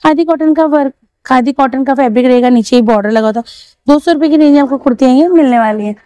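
A woman's voice: high-pitched, quick pace, dark tone, no echo. A young woman vlogging at an outdoor market, chatting through prices and fabrics and asking shopkeepers. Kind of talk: walking market vlog showing clothes and prices. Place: busy outdoor street market.

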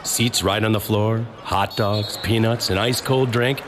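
A man's voice: on the low side, fairly even intonation, a little slow, a crowd in the background, background noise. An American man delivering scripted advertisement narration as a commercial voice-over actor. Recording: studio voice-over with music bed. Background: music.

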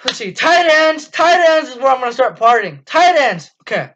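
A man's voice: very high voice, very animated, moderate pace, a bit bright. A teenage boy hosting a casual sports review from a small dim room, talking enthusiastically with lots of ums and restarts. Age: teens. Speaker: fan channel host. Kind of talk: casual postgame review monologue. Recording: dull lo-fi webcam audio.

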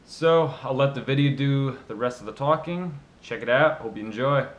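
A man's voice: medium pitch, moderate pace, roomy sound. A young man giving a casual recap to camera as a kayak angler and vlog host, recorded in a small indoor room. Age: young adult.

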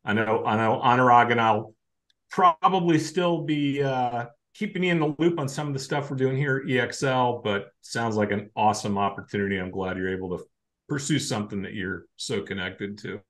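A man's voice: medium pitch, normal speed, a bit bright. An older man hosting a casual video call chat, upbeat, leaning hard on the words as he reads something out. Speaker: podcast host. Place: home room, indoors.

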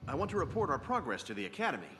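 A man's voice: a little high, huge pitch swings, very fast, bright, forceful tone, exaggerated voice. An actor playing a courteous, businesslike male academy scholar in a video game cutscene. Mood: polite, thoughtful. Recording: very reverberant hall, clear background noise.

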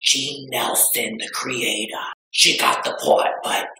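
A man voicing a vain, bawdy aging beauty vlogger woman who claims to be twenty-two, with a breathy, whispery drawl and gossipy theatrical delivery. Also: mid-range voice, flat delivery, takes it slowly, bright, forceful tone. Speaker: makeup tutorial vlogger. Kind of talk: comedic makeup tutorial. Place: small decorated room indoors. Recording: echoey room.

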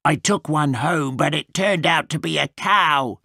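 A woman's voice: low voice, a little slow, creaky, sharp tone, no echo, clean studio sound, pitched below the performer's own voice, heavily acted. A woman voicing a small young male wolf in a storybook, naive, polite and guileless.

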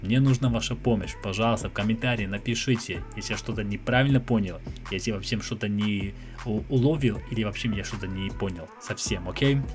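A young man from England, a native English speaker with an English accent, giving casual reaction commentary in an animated, slangy way with English interjections. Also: lowish voice, brisk, few pauses, over music. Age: young adult. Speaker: reaction video host. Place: small room at home. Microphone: desk microphone close in front, headphones on.

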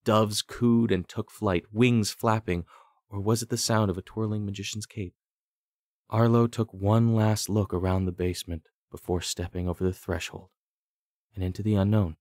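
A man narrating a scripted fantasy audiobook with deliberate, dramatic storytelling. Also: on the low side, level tone, darkish tone, no room sound, studio quality.

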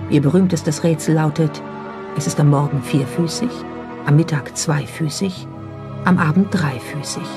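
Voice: mid-range voice, normal speed. A narrator reading a scripted documentary, presenting it as the narrator of the piece. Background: music behind the voice.

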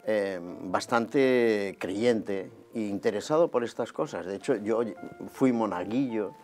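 A man in his fifties from Barcelona, a guest telling his life story in a relaxed, conversational way, recorded on a lapel mic in a small TV studio. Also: lowish voice, slow pace, soft background music. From Barcelona, Spain. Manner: relaxed and conversational, gesturing as he talks.

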